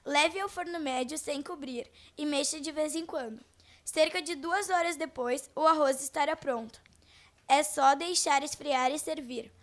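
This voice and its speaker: A woman's voice: high voice, unhurried, fairly bright tone. A girl of about twelve with a Brazilian accent reading a scripted recipe segment on old-time radio. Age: child, about twelve. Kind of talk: scripted 1940s-style radio presenting.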